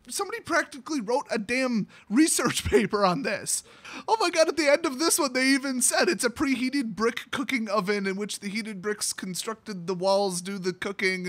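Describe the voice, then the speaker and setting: A man's voice: extremely high pitch, a bit bright. A man hosting a casual comedic storytelling video, rambling and exclamatory in an amused way. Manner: amused, rambling, exclamatory, prone to breaking into laughter. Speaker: YouTube host.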